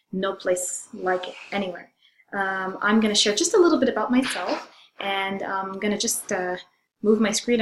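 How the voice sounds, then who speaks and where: Middle-aged female French teacher from Zambia, informal course presentation, halting phrasing, roomy echoing sound. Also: mid-range voice, a little hiss or hum. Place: room in a house, indoors. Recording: echoey room sound.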